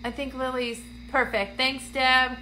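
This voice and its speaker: A woman's voice: high voice, level tone, a little slow, bright, forceful tone, slight background noise, poor audio. Congested woman chatting on a phone live stream. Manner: chatty, greets viewers by name, many ums. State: congested, getting raspy. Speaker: medium hosting a live stream. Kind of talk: casual livestream chat to viewers. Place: dim indoor room, seated on a couch. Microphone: phone camera at arm's length.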